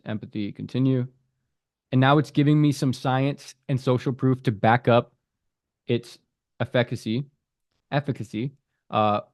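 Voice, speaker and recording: A man's voice: mid-range voice, darkish tone, dry recording. A man casually walking through an app on screen, reading its prompts aloud and commenting on them as an app developer.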